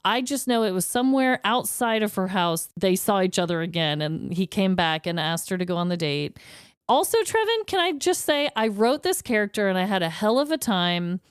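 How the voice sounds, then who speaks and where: A woman co-hosting a podcast in casual chat, animated and quick to laugh. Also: medium pitch, smooth, no echo, studio quality. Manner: chatty and animated, laughs easily. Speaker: podcast co-host.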